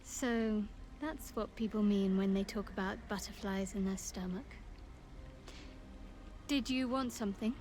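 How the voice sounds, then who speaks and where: A woman's voice: medium pitch, measured pace, a little mellow, a little hiss or hum, natural voice. A woman playing a guarded, devout young cleric in a fantasy video game, dry and teasing. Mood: tender, wry. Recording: clear music behind.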